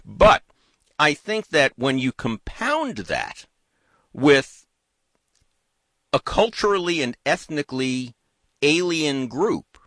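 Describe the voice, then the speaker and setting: Lively talkative man, dry close sound. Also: a little high, slow pace, long pauses, no echo. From United States. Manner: thoughtful, animated, talks in long winding runs. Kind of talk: casual political chat.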